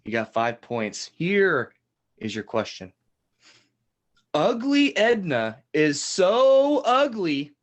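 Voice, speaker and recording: A man's voice: sits high, sing-song, slow pace. Man hosting an improvised parody game show.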